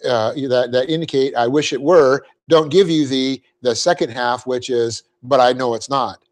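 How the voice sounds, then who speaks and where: A man teaching a Bible class, explaining Greek grammar step by step, spelling out words and clearing his throat often. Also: medium pitch, fairly even intonation, normal speed. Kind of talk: verse-by-verse Bible class lecture.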